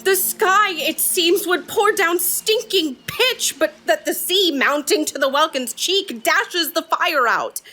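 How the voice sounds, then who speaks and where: A woman's voice: very high-pitched, animated, slow, few pauses, pushed and bright, exaggerated voice. A woman playing a tender-hearted, distressed young daughter, pleading emotively in a Shakespearean audio drama.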